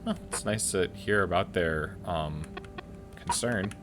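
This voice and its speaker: A man hosting a gaming video with casual commentary and animated asides and reactions. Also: low voice, slow pace, faint music behind. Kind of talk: casual let's-play commentary, reading game messages aloud.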